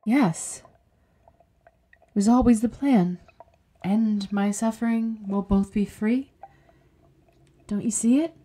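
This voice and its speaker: A woman's voice: a little high, takes it slowly, long pauses, dark tone. A woman playing a bitter, intense, commanding captive, kept alive without a body, in an audio horror drama. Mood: solemn plea.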